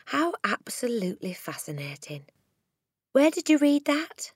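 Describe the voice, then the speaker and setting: A kindly young woman teacher as a female reader plays her, gentle and quiet in manner. Her pitch moves expressively within a medium register. It is a storybook voice with a close, dry sound. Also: very animated, a little slow, slightly rough, no room sound, exaggerated voice. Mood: interested, gentle.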